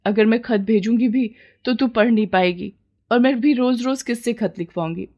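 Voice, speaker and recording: A woman's voice: on the high side, normal speed, mellow, lo-fi sound. A woman voicing a childless, yearning village woman who pleads and justifies herself in a dramatized moral story. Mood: affectionate. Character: childless village woman, yearning, scheming, defensive new mother.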